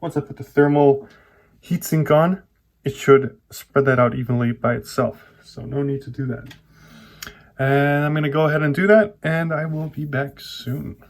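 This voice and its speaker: A man vlogger talking casually through a hands-on computer build, often pausing and rewording his explanations as he goes. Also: on the high side, fairly even intonation. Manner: informal, halting, self-correcting explanations. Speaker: tech vlogger.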